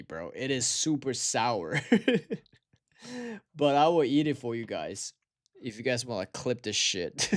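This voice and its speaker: Tired young man, slow. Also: mid-range voice. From Taiwan. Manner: slangy, swearing, calls viewers bro. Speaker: livestreamer. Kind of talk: casual livestream chat.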